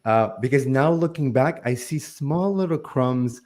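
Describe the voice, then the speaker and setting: A man's voice: medium pitch, a little slow, a little mellow. Earnest man in his forties. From Lebanon. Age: 40s. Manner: earnest, loose, heavy with "like" and "um". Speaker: guest, spiritual channel. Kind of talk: conversational interview.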